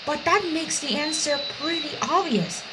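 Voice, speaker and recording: A woman's voice: sits high, animated, moderate pace, very noisy. A woman playing through a video game, reacting and reading along in a dramatic, exclamatory way. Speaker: gameplay streamer. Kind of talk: playthrough reactions and reading aloud.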